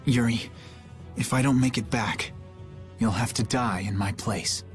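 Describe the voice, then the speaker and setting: An actor playing a serious, dutiful young knight in a video game, speaking in a formal, measured way. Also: male voice, fairly low pitch, natural voice. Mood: serious, resolute. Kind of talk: dramatic video game voice acting. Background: background music.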